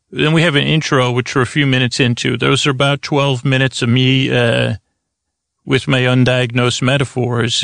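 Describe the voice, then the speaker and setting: A man hosting a bedtime podcast in a rambling, improvised monologue, halting and wandering off on tangents, with a gravelly voice. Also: medium pitch, fairly bright tone, no echo, studio quality. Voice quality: gravelly, creaky. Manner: meandering, halting, digressive, self-interrupting. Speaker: podcast host. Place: close, dry studio.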